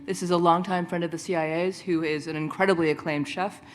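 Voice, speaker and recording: Fast-talking woman introducing a speaker. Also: lowish voice, fairly even intonation. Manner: quick, reading a prepared bio. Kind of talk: scripted introduction.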